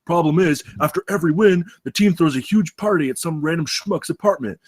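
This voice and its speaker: A man reading an eager, excitable campus security role at a script table read. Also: a little high, brisk, no room sound, natural voice. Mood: explaining.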